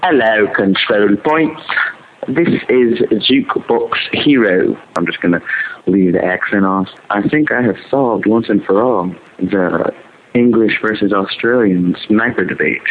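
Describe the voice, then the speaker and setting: A man's voice: on the low side, unhurried. A man leaving a casual, opinionated voicemail over the telephone. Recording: tinny noisy phone line.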